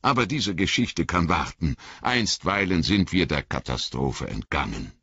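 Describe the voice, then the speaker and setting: A man reading an audiobook voices an old wizard who speaks gravely and kindly, slow and measured. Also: sits low, animated, sharp tone, no room sound, lo-fi sound, heavily acted.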